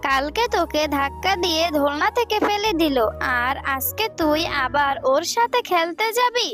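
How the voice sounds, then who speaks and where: Woman playing a high-voiced scolding cartoon animal mother. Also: lively intonation, moderate pace, fairly bright tone, soft background music. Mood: worried, reluctant. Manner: admonishing, motherly. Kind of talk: children's animated cartoon dialogue.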